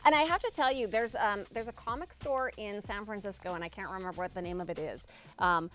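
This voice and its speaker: A woman hosting a casual festive show, chatting in an expressive, exclaiming way. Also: mid-range voice, a little fast, faint music behind. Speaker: show host.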